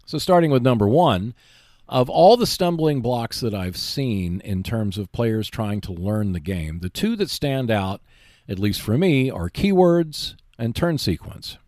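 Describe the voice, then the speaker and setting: A man hosting a hobby show, giving explanatory, semi-scripted commentary in a measured, emphatic way. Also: medium pitch, lively intonation, a little mellow, no room sound, clean studio sound. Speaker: host of a board game video show.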